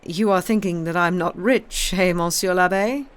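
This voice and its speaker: A man's voice: sits high, normal speed, a bit bright, clear, resonant tone. A man voicing a fawning, garrulous innkeeper who stammers and complains as he serves, in an audiobook. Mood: plaintive, boastful. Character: fawning, garrulous, self-pitying male French innkeeper.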